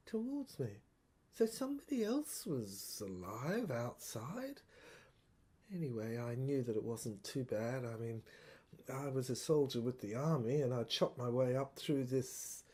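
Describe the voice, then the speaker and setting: A troubled infantry soldier played by a woman delivers a dramatic first-person monologue for an audio play. The voice is husky and slow, breaking phrases into halting pieces with deliberate emphasis. The pitch moves widely from line to line. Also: lively intonation, dark tone, heavily acted. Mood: defensive, reflective. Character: troubled infantry soldier piecing together a secret government document.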